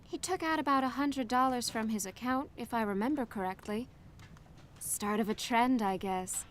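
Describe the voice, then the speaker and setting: An actress playing a wry, helpful bank teller in a noir radio drama, speaking casually. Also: female voice, a little high, moderate pace, slight background noise, natural voice.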